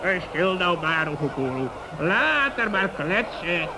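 A man playing a sly, polite forest animal in a children's radio play, with measured, mock-humble courtesy. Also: high-pitched, sing-song, background noise, heavily acted. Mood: soothing.

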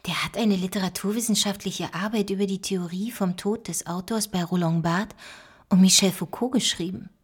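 An actress playing an inquisitive, bookish investigator, musing in a slightly conspiratorial way in a radio play. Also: female voice, mid-range voice, fairly even intonation, normal speed, slightly rough, close to an everyday voice. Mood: intrigued.

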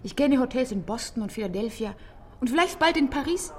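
A woman's voice: high voice, lively intonation, a little fast, slight background noise. An actress in a German radio play as a playful, romantic young female student, girlish and dreamy. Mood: playful, eager.

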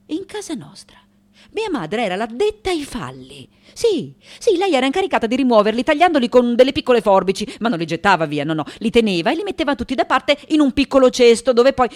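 Elderly female sculptor for radio drama: highish voice, swooping intonation, irreverent, confessional, restless. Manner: confessional, self-correcting, emphatic repetitions, dramatic pauses. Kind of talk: theatrical radio monologue.

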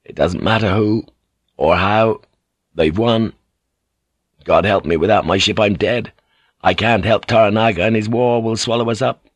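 A man's voice: lowish voice, moderate pace, pauses often, no room sound, natural voice. A man voicing a weary, brooding ship's pilot in an audiobook, subdued and fatalistic. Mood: despairing, resigned. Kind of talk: dramatic audiobook character voice.

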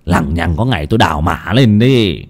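A man's voice: deep voice, animated, normal speed, pitched below the performer's own voice. A man voicing a rude, defiant grown son who talks with mocking contempt. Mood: scornful.

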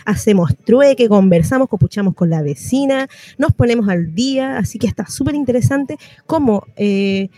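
A woman's voice: a little high, warm tone, no room sound. A Chilean woman hosting a radio show in a colloquial, chatty style. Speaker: radio host. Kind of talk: casual radio chat.

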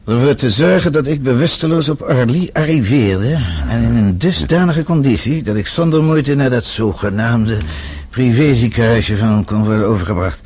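A man's voice: mid-range voice, normal speed, darkish tone, slightly rough, close to an everyday voice. An actor playing a shrewd, stubborn middle-aged man with a dry, authoritative, sardonic manner in a radio play. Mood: dry, assured. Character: shrewd, self-assured father-in-law, dry and stubborn.

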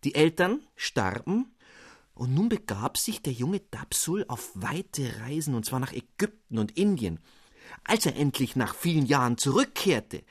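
A man's voice: medium pitch, husky, no room sound, studio quality. A man narrating a classic fairy tale for radio, telling it expressively and addressing the listener directly. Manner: expressive storytelling, addressing the reader. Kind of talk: scripted literary narration.

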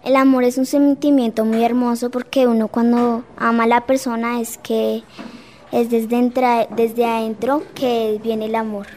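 A woman giving a halting opinion as a vox pop interviewee. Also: on the high side, level tone, warm tone, slight background noise.

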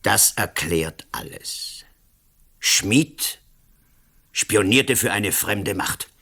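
An actor playing an overbearing, smug lawyer and politician in a radio play, pompous, blustering and condescending. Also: male voice, lowish voice, lively intonation, very slow, sharp tone, husky, full character voice. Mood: imperious.

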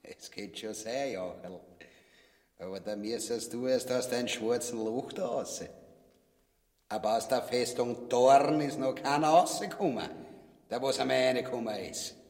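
A man's voice: mid-range voice, unhurried, a little mellow, close to an everyday voice. An actor playing a crude, pompous usurper king in a satirical radio play, mocking in a Viennese accent. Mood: taunting, loud. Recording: echoey hall with long reverb.

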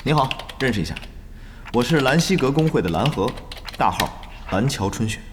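An actor in a Mandarin audio drama playing an eager young guild gamer who flatters, haggles and mutters in exasperation. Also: male voice, medium pitch, brisk, big-room sound, background noise, natural voice. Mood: friendly, persuasive. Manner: ingratiating, animated, with muttered exasperated asides.

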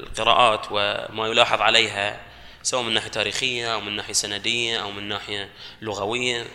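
A man, a guest on a panel, explaining his points with loud bursts of emphasis. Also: fairly low pitch. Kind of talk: panel discussion. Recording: reverberant hall sound.

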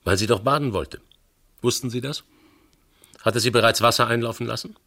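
An actor playing a dry, impatient police inspector who questions methodically, in a radio crime drama. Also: male voice, medium pitch, brisk, sharp tone. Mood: probing.

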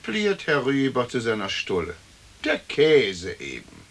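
Animated, quibbling gentleman. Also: mid-range voice, unhurried, full character voice. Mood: eager. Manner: emphatic, theatrical. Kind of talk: stereo radio play acting.

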